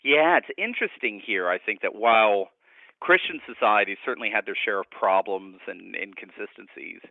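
A man's voice: lowish voice, expressive, moderate pace, vocal fry, dry recording. Tinny phone-line voice of a male scholar. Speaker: guest scholar and commentator. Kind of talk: conversational analysis in a radio interview.

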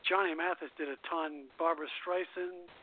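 A man hosting a radio show in casual, halting talk over a tinny phone-like line. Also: on the high side, fairly even intonation.

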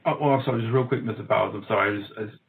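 A man's voice: lowish voice, rapid-fire, creaky, narrow, tinny audio. Earnest man reading and explaining scripture. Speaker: scripture reader and co-host. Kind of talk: reading scripture, Bible study chat.

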